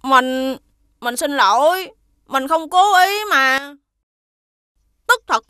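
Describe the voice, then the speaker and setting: A woman's voice: sits high, animated, drawn-out words, pushed and bright, no echo, studio quality, exaggerated voice. A woman with a southern Vietnamese accent voicing a mischievous, defensive little schoolboy in a children's cartoon. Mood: upset.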